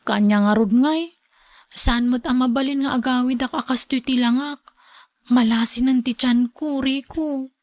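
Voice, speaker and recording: Anxious young student for radio serial, woman actor, Filipino accent; high plaintive voice, dry sound, pleading delivery. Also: fairly even intonation, normal speed, a little mellow, dry recording, lightly acted. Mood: worried. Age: young adult. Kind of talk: radio drama acting.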